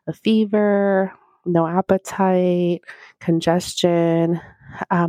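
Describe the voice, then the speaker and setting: A woman with a slightly low, scratchy voice talks through a casual solo podcast, rambling and chatty with plenty of fillers. She is alone in a quiet office with a small handheld mic, and the sound is close and dry with no room echo. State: scratchy voice from recovering illness. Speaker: podcast host. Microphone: small handheld microphone.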